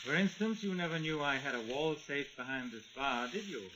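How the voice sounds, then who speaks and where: A man's voice: a little high, animated, fairly quick, natural voice. Man acting a suave, gloating radio villain, hissy. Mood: smooth, sly. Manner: suave, mocking, condescending. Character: smooth, gloating murderous villain, condescending to his victim. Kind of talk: 1940s radio thriller acting. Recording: muffled, hissy 1940s radio recording.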